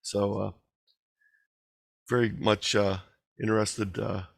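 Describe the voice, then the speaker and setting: A man's voice: fairly low pitch, little pitch movement, takes it slowly, gravelly, no room sound, clean studio sound. A man giving an informal online presentation, explaining and reading out figures in a conversational way. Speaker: presenter of an amateur radio talk. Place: online video meeting.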